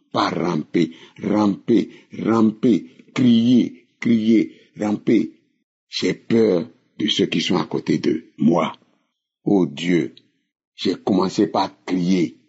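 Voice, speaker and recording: Slow, husky man in his sixties. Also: lowish voice, dark tone, dull audio. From Benin. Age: 60s. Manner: slow, emphatic, repeating phrases. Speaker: testimony caller. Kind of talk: spoken testimony.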